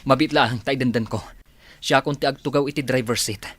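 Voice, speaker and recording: A man's voice: medium pitch, fairly even intonation, brisk, fairly bright tone, no room sound, close to an everyday voice. A man playing a helpful, respectful young villager in a comedy radio drama. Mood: urgent, respectful.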